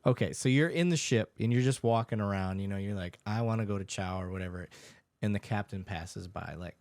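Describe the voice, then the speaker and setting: A man's voice: lowish voice, fairly quick, a little mellow, dry recording, clean studio sound. A man hosting a podcast, asking curious, blunt questions in casual chat and swearing freely. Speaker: podcast host.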